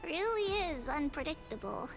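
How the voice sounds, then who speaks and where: A little girl oracle from a fantasy game, in an extremely high, sweet voice. She sounds serene and cheerful, soothing yet formal, while loud music plays behind her. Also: very animated, normal speed. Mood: knowing.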